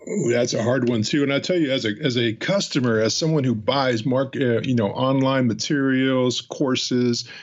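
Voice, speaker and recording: A man interviews his guest and drifts from thought to thought in a loose, teasing way. His voice sits at a middling pitch. It comes through a slightly muffled, dull-sounding remote connection. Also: normal speed, hardly any pauses. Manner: rambling, teasing. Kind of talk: casual conversation.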